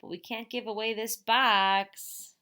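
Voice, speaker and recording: Stubborn storybook boy, played by a woman, raised pitch, theatrical and pleading. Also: steady tone, takes it slowly, pushed and bright, clear, resonant tone. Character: young boy, dramatic, pleading, stubborn haggler.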